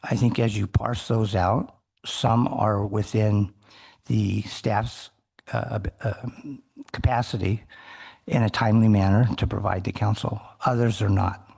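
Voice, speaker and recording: A low-pitched man with a gravelly, husky voice answers a council member's question during public testimony. He speaks in a measured, formal way, pausing and restarting as he works through his points. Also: fairly even intonation, a little mellow. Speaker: testifying fisherman. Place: council meeting room.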